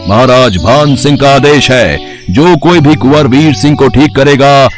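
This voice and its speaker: A man's voice: mid-range voice, measured pace, soft background music, natural voice. A man playing a royal soldier making formal proclamations in a storybook tale. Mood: proclaiming. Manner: declamatory, formal town-crier announcing. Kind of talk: Hindi moral storybook acting.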